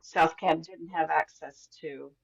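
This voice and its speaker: A woman's voice: deep voice, fairly even intonation, takes it slowly, gravelly, slightly rough, dull audio. A middle-aged woman from Venezuela, a podcast guest telling stories of her upbringing in an easygoing, enthusiastic way, with frequent restarts. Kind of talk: casual conversational storytelling.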